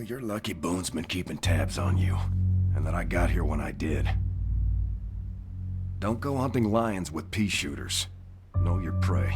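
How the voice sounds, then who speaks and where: An actor playing a grizzled, wary older investigator in a game, talking in an ominous, folksy way. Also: male voice, a bass voice. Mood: grim warning. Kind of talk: dramatic game cutscene monologue.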